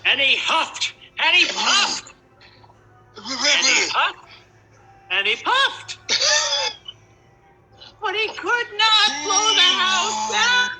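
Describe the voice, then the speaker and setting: A woman's voice: very high-pitched, animated, extremely slow, creaky, long pauses, over loud music, low-quality recording. A woman narrating a children's story in a dramatic storytelling style.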